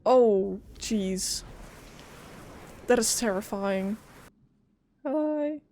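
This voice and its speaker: A woman's voice: mid-range voice, expressive, very slow, dark tone, a little hiss or hum. A woman game streamer giving casual live reactions, exclaiming and joking as she plays. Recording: faint game music behind. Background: game music.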